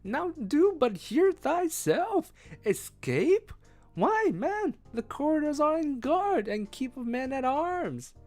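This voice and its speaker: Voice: high-pitched, sing-song, slow, a little mellow, faint music behind, pitched above the performer's own voice, full character voice. A reader voicing a timid, frightened page boy. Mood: urgent. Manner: pleading, trembling, old-fashioned speech.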